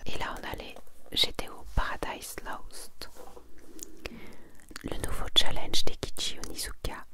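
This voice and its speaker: A woman whispering in an ASMR style, breathy and almost entirely unvoiced, reading and chatting in a gentle, confiding way. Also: mid-range voice. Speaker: ASMR content creator. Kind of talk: soft whispered ASMR, presenting and reading manga summaries.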